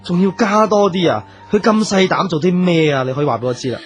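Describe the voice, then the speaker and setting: A man's voice: sits high, lively intonation, fast talker, slightly rough. A man playing a brusque, exasperated show producer in a Hong Kong Cantonese radio drama, blunt and grudging. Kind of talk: festive radio drama acting. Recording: music under the voice.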